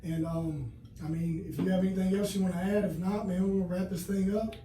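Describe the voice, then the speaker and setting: A thirty-year-old man hosts a relaxed podcast conversation, his voice high yet very dark and mellow in tone. He speaks in a laid-back, agreeable way. The sound is roomy, with the mic placed at a distance. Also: brisk, slight background noise. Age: 30s. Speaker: podcast host. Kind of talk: casual interview chat. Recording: echoey room, mic at a distance.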